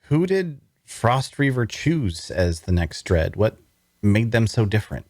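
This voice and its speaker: Guy doing a curious hurt captive, talks real slow n unsure, lots of questions, fantasy drama read, dry audio. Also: on the low side, very animated, darkish tone, no echo, exaggerated voice. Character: curious wounded male captive, full of questions.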